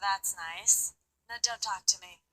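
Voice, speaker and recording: A woman's voice: medium pitch, a little slow, bright, forceful tone, poor audio. An actress playing a curt, distrustful female witness in a visual novel game, coldly dismissive.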